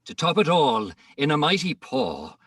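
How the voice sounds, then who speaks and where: A man giving a theatrical dramatic reading of rhymed verse, with deliberate pauses, recorded in an echoing room at some distance from the microphone. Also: a little high, sing-song, a bit bright, husky, clean studio sound. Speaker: poetry reader. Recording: roomy echo, distant microphone.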